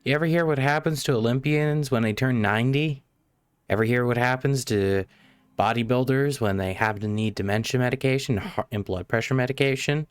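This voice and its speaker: Game streamer, mid-pitched voice, casual, argumentative, frequent swearing, dry close-mic sound. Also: brisk, clean tone, no room sound. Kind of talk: live gameplay commentary, casual.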